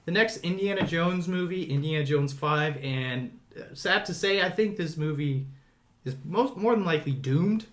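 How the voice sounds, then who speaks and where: A man's voice: a little high, moderate pace. A man hosting a podcast, introducing topics and discussing movies in an informal, conversational way. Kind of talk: casual movie discussion chat.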